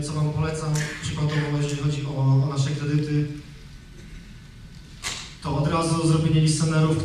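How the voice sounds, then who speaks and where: A man in his thirties gives a conference talk from a stage, his voice sitting slightly high but staying flat in pitch. He speaks loosely, restarting phrases and filling pauses as he goes. The sound is distant and very echoey, with a faint hum of the hall behind him. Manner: conversational, with frequent fillers and restarts. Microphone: handheld microphone.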